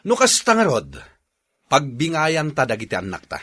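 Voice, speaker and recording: Man as stubborn quarrelsome father, raspy, mid-pitched, lively intonation, radio drama. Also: normal speed, exaggerated voice. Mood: indignant. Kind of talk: radio drama acting in Ilocano.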